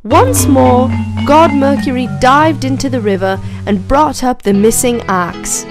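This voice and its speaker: Woman, storybook narrator, fairly high voice, clear background music, slow and even reading. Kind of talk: scripted children's story narration.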